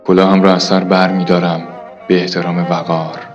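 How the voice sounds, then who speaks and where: A man narrating a radio drama in a poetic, literary style with measured, formal delivery. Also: sits low, monotone, dull audio, natural voice. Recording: music bed under the voice.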